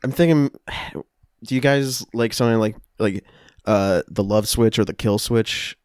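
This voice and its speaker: A young adult podcast co-host chatting casually and improvising with friends, informal and quick to swear. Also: medium pitch, a little mellow, smooth, dry recording, clean studio sound. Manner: profane, informal.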